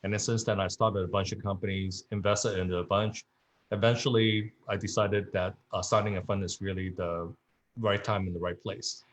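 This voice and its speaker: A man from Hong Kong, an investor on a video-call panel, talking in a relaxed way with restarts. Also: low voice, normal speed. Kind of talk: casual panel talk.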